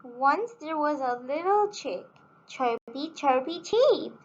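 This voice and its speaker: A woman hosting a children's storytime, reading a picture book aloud in a sing-song, deliberate way. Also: sits high, lively intonation, lo-fi sound. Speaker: children's storytime channel host.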